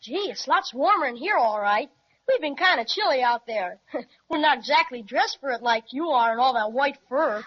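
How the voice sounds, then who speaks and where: A woman playing an eager, excitable young boy in an old radio serial. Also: high voice, moderate pace. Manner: eager, exclaiming. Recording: muffled 1930s radio recording.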